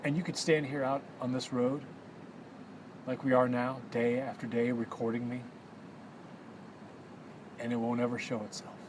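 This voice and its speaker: A man's voice: medium pitch, little pitch movement, moderate pace, long pauses, lightly acted. An actor playing a haunted, brooding man giving a documentary-style interview on a desolate road. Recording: vehicle noise and ambience behind voice.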